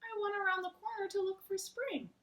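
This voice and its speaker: A woman voicing a kindly, earnest male frog telling his tale in an animated storybook read-aloud. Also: extremely high pitch, brisk, far from the mic, voice pushed up. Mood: earnest, eager.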